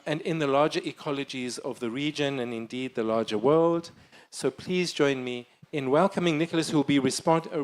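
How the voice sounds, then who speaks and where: Male moderator, polite, formal, a bit halting, voice on the higher side. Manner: courteous, hesitant with fillers. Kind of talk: panel moderation. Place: conference hall panel.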